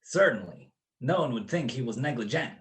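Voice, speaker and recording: A man's voice: medium pitch, animated, a little fast, close to an everyday voice. A man acting a smug, cold-blooded criminal mastermind in a radio play, with precise, mocking, grandiloquent speech. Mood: sly, smooth.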